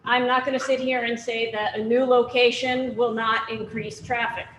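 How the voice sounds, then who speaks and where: A woman with a fairly high voice answers a public forum's concerns in a direct, plain-spoken way. The room around her is echoey, with a faint hum underneath. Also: level tone, normal speed, fairly bright tone.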